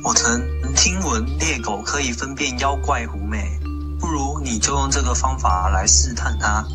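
Man acting loyal adviser to a lord, earnest, measured, medium pitch, radio play, clear music underneath. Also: natural voice. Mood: earnest, advising. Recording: music behind the voice.

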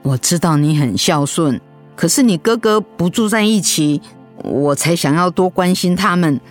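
A woman's voice: fairly low pitch, lively intonation, normal speed, faint music behind, close to an everyday voice. An actress playing an elderly, strong-willed mother who frets over her children in a radio drama. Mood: pleading.

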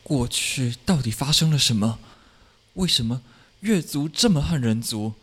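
A man's voice: medium pitch, expressive, unhurried, fairly bright tone, husky. An actor playing a guarded, bewildered young half-blood man in a Chinese audio drama, with terse, wary delivery. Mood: searching.

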